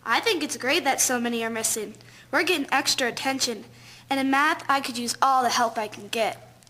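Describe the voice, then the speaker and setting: A woman acts an eager schoolgirl with a high, bright voice. She is friendly and generous, a teenager in a period radio play. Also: brisk. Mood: upbeat. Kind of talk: scripted audio drama acting.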